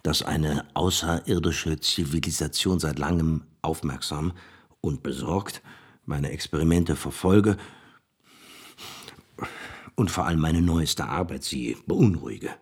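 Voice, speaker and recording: A vain, fame-obsessed scientist tells his own story in a radio play. His voice is extremely low and husky, and he speaks slowly, confiding as if to pals. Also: heavily acted. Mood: uneasy recounting. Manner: confiding, addresses listeners as pals. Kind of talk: radio play, first-person account.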